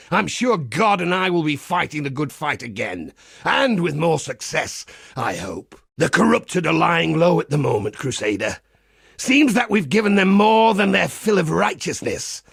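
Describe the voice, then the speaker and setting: A man playing a zealous, battle-hardened crusader in a video game, with a gravelly rasp and a blunt, wry, preacherly way of talking. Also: a little high, fairly bright tone, no room sound, clean studio sound, heavily acted. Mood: rueful. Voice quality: gravelly, rasping. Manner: preacherly, blunt, wry, archaic turns of phrase. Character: zealous battle-hardened crusading holy warrior, grimly cheerful, foul-mouthed. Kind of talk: theatrical video game character dialogue.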